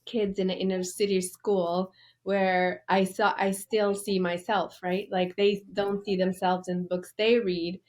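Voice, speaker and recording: A woman's voice: mid-range voice, little pitch movement, unhurried, a little mellow. A woman from Norway, an author interviewed as a guest, telling her story with enthusiasm and loose fillers.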